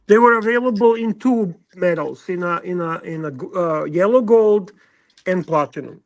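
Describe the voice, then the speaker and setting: A man with a Serbian accent chatting casually about watches on a livestream, halting and restarting often. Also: high voice, dry recording. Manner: halting, lots of restarts and filler.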